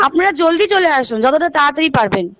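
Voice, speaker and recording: A woman's voice: high-pitched, very animated, fast, fairly bright tone. A woman with a Bengali accent giving urgent notice on a recorded phone call as a hospital official, repeating herself and hesitating. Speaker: hospital assistant superintendent calling a relative.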